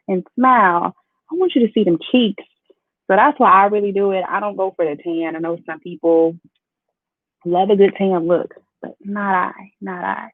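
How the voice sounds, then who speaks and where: A woman's voice: mid-range voice, dry recording. Chatty woman with a Chicago twang. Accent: Chicago with a Southern twang. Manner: chatty, playful, lively, talks to the chat. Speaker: beauty vlogger. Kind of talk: casual makeup tutorial livestream. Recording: dry phone-like audio.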